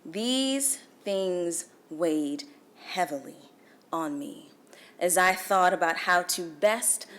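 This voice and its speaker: A young woman from Guyana with a Guyanese accent, delivering a formal speech at a celebration breakfast in measured, emphatic oratory with dramatic pauses. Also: on the low side, fairly bright tone. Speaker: featured speaker. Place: event hall.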